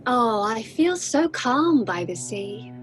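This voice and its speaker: A woman performing poetic audio theatre with measured, deliberate delivery. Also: on the high side, big pitch swings. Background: guitar music.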